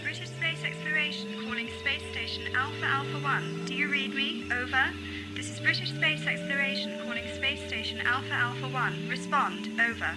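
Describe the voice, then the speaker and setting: High-voiced actress as space mission controller. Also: female voice, lively intonation, normal speed. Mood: businesslike. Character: female mission controller calling a space station. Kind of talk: science fiction audio drama acting. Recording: old tape with very loud music.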